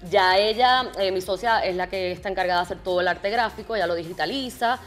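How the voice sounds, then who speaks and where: A woman's voice: mid-range voice, quick pace, soft background music. A Puerto Rican woman in her thirties, an actress chatting easily and laughing as a guest on a TV studio set. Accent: Puerto Rican Spanish. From Puerto Rico. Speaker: actress and t-shirt designer, guest. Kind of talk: casual interview chat.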